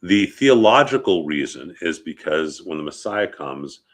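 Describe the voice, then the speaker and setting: A man's voice: low-pitched, very animated, measured pace. A man with a head cold, sniffling a little, teaching as a rabbi in an informal, conversational lecture and often checking in with short questions. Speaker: rabbi teaching about funeral customs.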